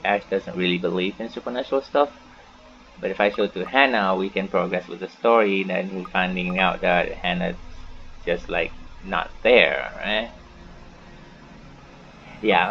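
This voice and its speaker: A man giving a casual video game playthrough commentary, rambling and reading the on-screen text aloud in a half-mumble. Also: low-pitched. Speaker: gaming YouTuber. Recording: dull, noisy home gaming recording.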